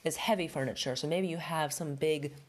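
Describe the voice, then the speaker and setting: Middle-aged woman with a low voice and a stuffed-up nose, rambling chattily as a podcast host. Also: fairly quick. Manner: chatty, rambling, many fillers. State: stuffed up with a head cold. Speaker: podcast host, home stager. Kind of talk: casual solo podcast talk.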